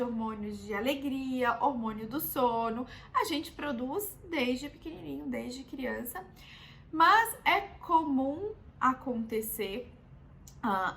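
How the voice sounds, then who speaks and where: High-voiced Brazilian woman doctor explaining. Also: a bit bright, a little hiss or hum. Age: 30s. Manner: patient and explanatory, often adds "né" to check in. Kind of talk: explanatory health video talk.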